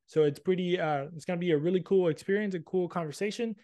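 A man's voice: high voice, quick pace, warm tone. A man hosting an interview podcast, asking long rambling questions full of restarts and fillers. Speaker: podcast host.

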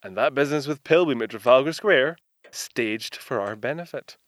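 An actor with a British accent playing a proud, genteel 1930s inventor in a period audio drama, speaking with clipped, old-fashioned courtesy. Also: male voice, medium pitch, expressive, normal speed, lightly acted. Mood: wry, resigned.

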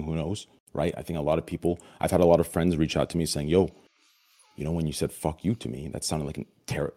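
A deep-voiced man chatting candidly as an interview guest, excitable and blunt, swearing casually and often checking that he is understood. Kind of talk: casual confessional chat.

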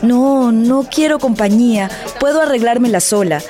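An actress playing a proud, brooding young bride torn by forbidden love in a melodramatic audio drama. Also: female voice, fairly high pitch, moderate pace, natural voice. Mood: composed. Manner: imperious, brooding. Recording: loud music bed under the voice.

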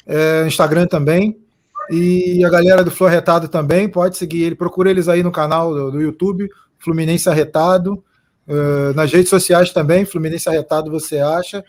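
A man's voice: on the high side, a little mellow. A Brazilian man hosting a casual live stream, chatting and reading out viewer comments.

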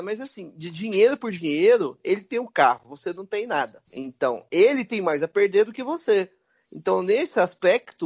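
Guy chatting away all animated, highish voice, muffled lo-fi. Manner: animated, addresses listener as "cara". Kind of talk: casual conversation. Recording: dull lo-fi sound.

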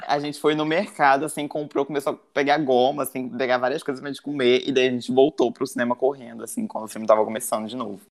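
A man's voice: medium pitch, steady tone, quick pace, few pauses. A man from Brazil hosting a podcast, telling stories in a casual chat full of fillers. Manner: storytelling, many fillers like "tipo" and "assim". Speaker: podcast host. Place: living in Portugal.

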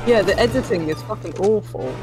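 A woman's voice: mid-range voice, brisk, over music, low-quality recording. A woman co-hosting a podcast with blunt, wry, casual reactions to a film. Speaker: podcast co-host.

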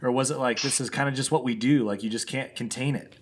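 A man's voice: mid-range voice, fairly even intonation, quick pace. A man hosting a podcast who introduces his guest and asks questions in an upbeat, eager way. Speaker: podcast host. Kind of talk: podcast interview.

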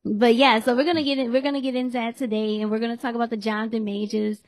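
A woman hosting a podcast in a casual chat, losing her voice and hoarse, teasing and laughing often. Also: a little high, level tone, fast, dry recording. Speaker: podcast host.

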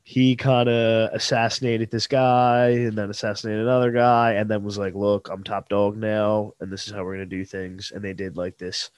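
A young man with a blocked nose talks in a nasal, lowish voice as he hosts trivia on a casual podcast. He rambles with fillers and restarts. The recording is dry and close. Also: flat delivery, moderate pace, hardly any pauses, clean tone, dry recording. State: stuffed-up nose. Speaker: podcast co-host presenting history trivia. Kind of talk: casual sports podcast chat.

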